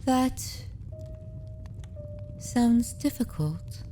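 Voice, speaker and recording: A woman playing an aristocratic vampire mistress in an ASMR roleplay, predatory yet consoling, speaking formally and deliberately in a soothing way. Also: medium pitch, mellow. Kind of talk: ASMR roleplay, scripted dramatic monologue.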